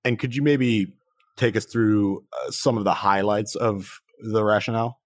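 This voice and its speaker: A man's voice: fairly low pitch, steady tone, unhurried, dry recording, studio quality. A man hosting a tech podcast, upbeat and playful, with a casual chatty manner. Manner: upbeat, playful, repeats words. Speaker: podcast host. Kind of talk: podcast intro and interview.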